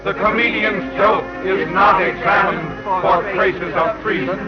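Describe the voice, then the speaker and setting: A man's voice: high-pitched, steady tone, a little slow. A man playing a fervent patriotic voice of the American people in a 1940s radio pageant, declaiming with ringing deliberation. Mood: defiant, proud. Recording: dull old radio broadcast, loud music behind.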